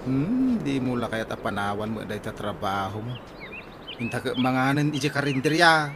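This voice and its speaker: A man playing a teasing married workmate in an Ilocano radio comedy drama, with an Ilocano accent and drawn-out interjections. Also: medium pitch, very animated, close to an everyday voice. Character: teasing, married male workmate and drinking buddy. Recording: very noisy, cooing birds behind.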